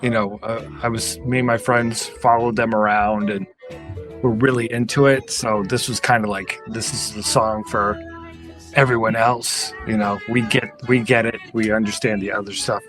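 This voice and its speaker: A man's voice: lowish voice, steady tone, moderate pace, music in the background. A middle-aged man co-hosting a podcast in casual, conversational chat, reminiscing. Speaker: podcast co-host.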